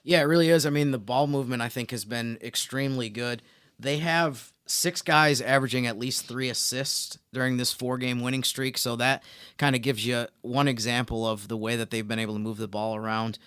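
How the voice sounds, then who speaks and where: A man co-hosting a sports podcast, giving conversational analysis in an explanatory, measured manner. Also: medium pitch, steady tone, a bit bright, clean tone, dry recording, clean studio sound.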